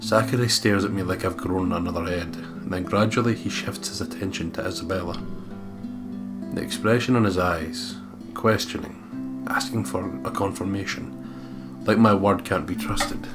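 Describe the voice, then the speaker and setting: A man's voice: deep voice, steady tone, normal speed. A man hosting a let's play, reading game narration aloud with casual commentary. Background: guitar music from game.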